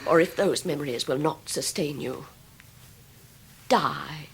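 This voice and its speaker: An actress playing a scornful upper-class English Victorian lady in a radio drama, with a breathy, biting, theatrical delivery. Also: low voice, slow, heavily acted. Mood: vicious mockery. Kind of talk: 1990s radio drama acting.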